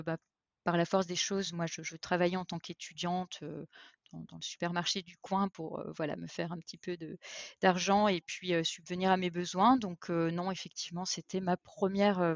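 A woman's voice: fairly low pitch, dry recording, highs cut off. A woman in her forties from eastern France, a podcast guest telling her career story with many fillers and restarts. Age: 40s. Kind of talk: interview conversation.

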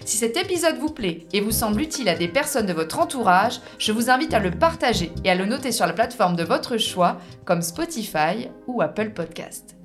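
A woman hosting a business podcast, who opens with a measured scripted welcome and then asks interview questions. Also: fairly high pitch, expressive, faint music behind. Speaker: podcast host.

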